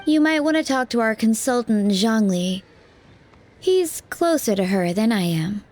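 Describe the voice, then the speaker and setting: A woman playing a helpful, composed employee in a video game, speaking politely and in a measured way. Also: a little high, expressive, darkish tone. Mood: thoughtful. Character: helpful, composed female funeral parlor employee.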